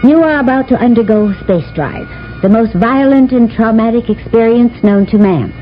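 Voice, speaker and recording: An actress playing a brisk space service lieutenant reciting regulations in a radio drama. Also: female voice, on the high side, lightly acted. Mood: brisk, procedural. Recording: muffled vintage radio recording, music behind.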